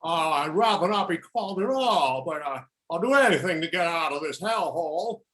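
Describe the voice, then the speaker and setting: Man playing a high-voiced nervous convict. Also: animated, moderate pace, sharp tone. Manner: hesitant, stammering. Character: nervous, eager male convict recruit. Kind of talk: amateur script table read.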